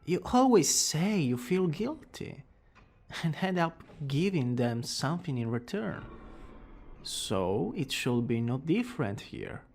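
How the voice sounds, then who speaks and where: Man acting a cocky, creaky-voiced young villain boyfriend. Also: a little high, sing-song, slow pace, a little mellow, a little hiss or hum, heavily acted. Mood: teasing, boastful. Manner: smug, theatrical, teasing. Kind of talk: romantic ASMR roleplay acting.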